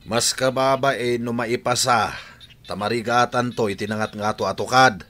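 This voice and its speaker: A man's voice: mid-range voice, fairly even intonation, moderate pace, a bit bright, close to an everyday voice. A man with an Ilocano accent playing a stern but reasonable father in a radio drama.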